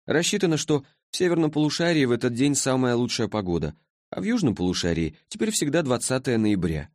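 A man's voice: mid-range voice, big pitch swings, brisk, darkish tone, no room sound, clean studio sound, voice pushed up, natural voice. A man voicing a languid, sleepy female being from the far future in an audiobook, with a listless, clinical drawl.

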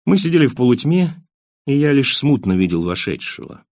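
A man's voice: mid-range voice, big pitch swings, brisk, a little mellow, dry recording, clean studio sound. A man reading literary prose as an audiobook narrator, acting out the dialogue in a scripted style.

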